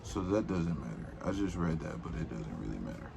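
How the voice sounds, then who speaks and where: A man's voice: rumbling low, big pitch swings, a little fast, vocal fry, background noise. A man reading a children's storybook aloud in an informal, rambling way, with casual slangy asides.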